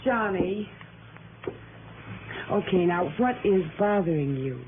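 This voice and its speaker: Slow, pointed radio-drama woman. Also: mid-range voice, animated. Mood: concerned. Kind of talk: 1960s radio drama acting. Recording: noisy, narrow old radio broadcast.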